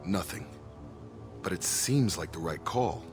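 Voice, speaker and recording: A man's voice: low-pitched, measured pace. An actor playing a grim, plain-spoken soldier in a video game, brooding over his father's crimes. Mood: bitter. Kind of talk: scripted video game dialogue. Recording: loud game music behind.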